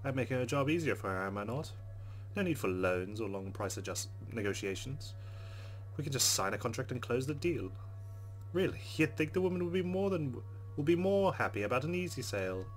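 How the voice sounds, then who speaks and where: A man's voice: mid-range voice, animated, brisk. A man hosting a let's play, reading game narration aloud expressively and adding casual commentary. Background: game music.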